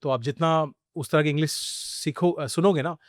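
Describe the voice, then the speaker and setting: A man's voice: on the high side, normal speed, dry recording, clean studio sound. A middle-aged man from India, a podcast guest telling stories in a conversational way, often dropping English phrases into his Hindi. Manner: mixes Hindi with English phrases, animated storytelling. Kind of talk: conversational interview storytelling.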